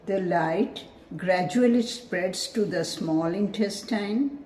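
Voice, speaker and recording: A woman leading a scripted guided meditation in a measured, soothing, instructive manner. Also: mid-range voice, darkish tone, a little hiss or hum.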